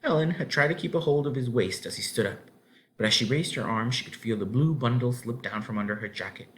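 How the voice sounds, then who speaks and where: A man narrating a children's novel aloud in an expressive storybook style. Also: medium pitch, fairly quick.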